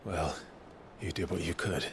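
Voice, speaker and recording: An actor playing a brooding, defiant young warrior in a fantasy game, terse and grim. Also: male voice, sits low, steady tone, unhurried, vocal fry, noisy background. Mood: weary concern. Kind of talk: dramatic fantasy game acting. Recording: music behind the voice.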